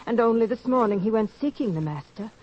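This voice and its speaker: A woman's voice: a little high, expressive, quick pace, close to an everyday voice. An actress playing a hospitable, helpful village woman in a biblical radio drama, with formal, theatrical phrasing. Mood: helpful, concerned. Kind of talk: old-fashioned radio drama acting. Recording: dull lo-fi radio drama recording.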